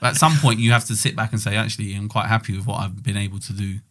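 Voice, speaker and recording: Laid-back low-voiced man in his late twenties. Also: fast. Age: 20s. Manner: relaxed, rambling, reflective. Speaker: podcast co-host. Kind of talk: casual chat.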